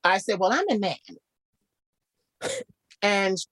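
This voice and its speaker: A man with a naturally light voice, hoarse today and a little husky, telling his story animatedly as a guest. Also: slow, no echo. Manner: animated, repeats phrases, jumps high for quoted voices. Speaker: guest musician. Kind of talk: casual interview storytelling.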